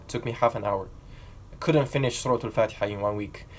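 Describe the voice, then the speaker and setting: A man's voice: lowish voice, quick pace, a little hiss or hum. A young man from China telling his own learning story to camera in an earnest, reflective way. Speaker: vlogger.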